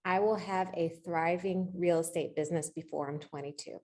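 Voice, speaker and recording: A woman's voice: fairly low pitch, fairly even intonation, normal speed, a little mellow. Encouraging middle-aged woman coaching. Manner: encouraging, checks in often with rhetorical questions. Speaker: real estate coach leading a coaching session. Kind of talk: informal, conversational coaching.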